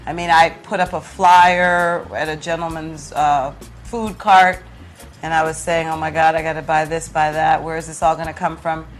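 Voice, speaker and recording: A woman's voice: sits low, flat delivery, normal speed, faint music behind. A woman talking conversationally as a guest in an interview. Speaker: guest, play producer.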